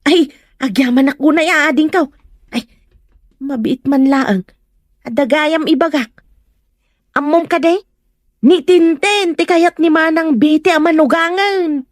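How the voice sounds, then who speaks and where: A woman's voice: sits high, a little slow, long pauses, dry recording, clean studio sound. A woman with a Filipino accent playing a gossipy, prying neighbour in an audio drama. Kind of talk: Ilocano audio drama acting.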